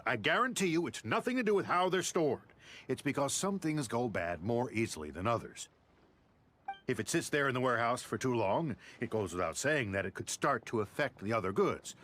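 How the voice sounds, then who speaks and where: An actor playing a nervous, eager-to-please warehouse clerk in a video game, with a flustered, stammering manner. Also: male voice, medium pitch, a bit bright, slight background noise. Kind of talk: video game character acting.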